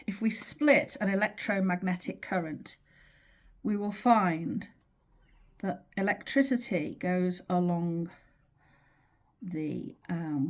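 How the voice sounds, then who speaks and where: A woman teaching in an informal, explanatory way, with measured, emphatic phrasing and pauses as she talks through a drawing. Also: lowish voice. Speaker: teacher of earth energy dowsing.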